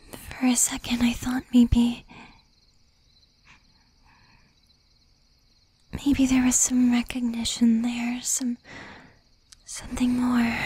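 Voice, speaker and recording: A woman acting as a jealous, doting young demon girlfriend in a soft, breathy ASMR whisper. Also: a little high. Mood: sad, fearful. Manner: slow, intimate, menacing yet doting. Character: jealous, possessive young female demon girlfriend, shy, tender.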